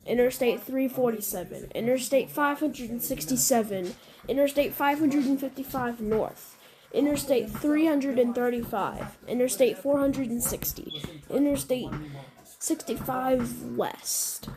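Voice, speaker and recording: A woman narrating a mock emergency alert scenario, halting and sometimes repeating or stumbling over her words. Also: sits high, rough recording. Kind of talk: scripted emergency announcement with ad-libbed reactions.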